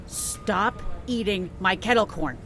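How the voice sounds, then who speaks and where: A woman's voice: mid-range voice, takes it slowly, natural voice. A woman playing a sarcastic, petulant character who squabbles whinily in an audio drama. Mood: indignant. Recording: faint background noise.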